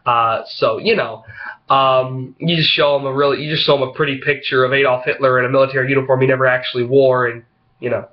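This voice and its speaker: A congested man with a head cold talks into the camera. His voice is slightly froggy, and his delivery halts and rambles with plenty of "uh"s and "you know"s. His pitch sits in the middle of the male range, and the recording sounds a little muffled. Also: fairly even intonation. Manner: halting, rambling, heavy on fillers. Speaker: vlogger. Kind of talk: casual opinion vlog talking to camera.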